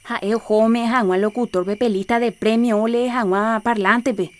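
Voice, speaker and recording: Actress as higher-voiced Paraguayan village helper. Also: female voice, fairly even intonation, moderate pace, natural voice. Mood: busy, helpful. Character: Mechi, village woman helping organize festival games. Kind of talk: radio soap opera acting in Jopara.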